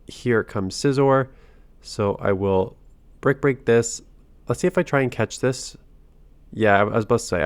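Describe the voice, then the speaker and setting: A man's voice: lowish voice, no room sound. A man with a cold, nasal and congested, giving casual commentary on his own game match, thinking aloud and breaking off mid-sentence. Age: adult father. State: sick with a cold. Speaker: gaming channel host.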